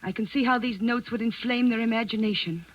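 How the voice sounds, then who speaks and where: An actress playing a poised, shrewd Danish countess in a 1940s radio drama, measured and refined in her speech. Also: a little high, fairly even intonation, lightly acted. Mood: cool, shrewd. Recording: dull, dry vintage radio recording.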